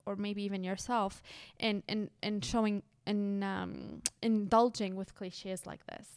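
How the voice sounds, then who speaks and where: A woman's voice: medium pitch, little pitch movement, measured pace, a little mellow, clear, resonant tone, no echo. A woman in her thirties from Lebanon, co-hosting a podcast in a small studio and explaining ideas thoughtfully, with frequent restarts. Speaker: podcast co-host. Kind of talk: casual podcast conversation. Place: small studio with brick wall and couch. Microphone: studio mic on boom arm.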